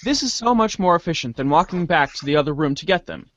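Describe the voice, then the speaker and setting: A man's voice: fairly high pitch, animated, quick pace, fairly bright tone, dull audio. A man giving a theatrical dramatic reading of scripted narration, now and then stumbling and rereading words. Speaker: voice reader in a webcomic reader's theater.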